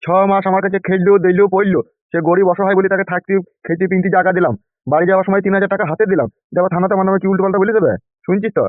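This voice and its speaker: Man acting a fast-talking blustering crooked politician. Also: sits high, fairly even intonation, no room sound, narrow, tinny audio, close to an everyday voice. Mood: aggrieved. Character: corrupt, lecherous, blustering male politician and gang boss. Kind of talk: animated comedy cartoon dialogue.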